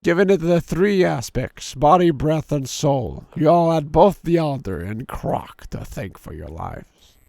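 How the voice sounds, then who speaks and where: A man in his thirties with a sore, hoarse throat and a gravelly edge, talking slowly with a lively, swinging pitch. He streams a game and reads its story text aloud, sinking into a deeper storyteller voice. The sound is close and dry. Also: no echo. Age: 30s. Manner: drops into a deep storyteller voice for the narration.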